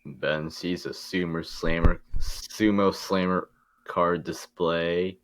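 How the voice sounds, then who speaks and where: A man's voice: sits low, fairly even intonation, takes it slowly, fairly bright tone, no echo. A man reading stage directions aloud as narrator in an amateur table read.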